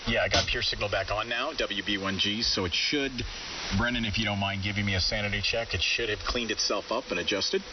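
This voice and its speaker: A man's voice: on the low side, fairly even intonation, brisk. A man chatting casually as an amateur radio operator over a two-way radio, thinking aloud and reading out figures in an informal way. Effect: two-way ham radio transmission. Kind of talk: casual radio chat, talking through equipment readings. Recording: hissy, dull, distant shortwave radio audio.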